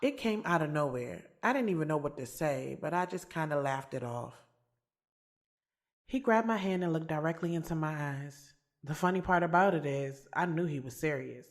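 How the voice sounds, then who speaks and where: A woman's voice: sits low, animated, moderate pace. A woman playing a sassy, candid young woman in an audio drama, telling her story in a casual, slangy way, swearing freely. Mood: taken aback, reflective. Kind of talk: audio drama first-person narration and dialogue. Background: music.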